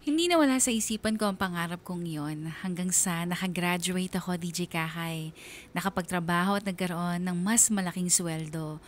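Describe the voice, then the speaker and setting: Woman acting low-voiced, reflective daughter narrator. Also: moderate pace, a little hiss or hum, lightly acted. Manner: reflective, earnest. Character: earnest, dutiful young nurse daughter narrating her story. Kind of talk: first-person radio drama narration.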